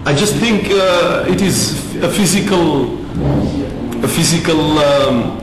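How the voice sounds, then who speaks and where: A man's voice: on the high side, expressive, background noise. Hesitant man in an echoey room. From Africa. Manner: measured, many fillers. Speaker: interviewee. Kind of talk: interview answers.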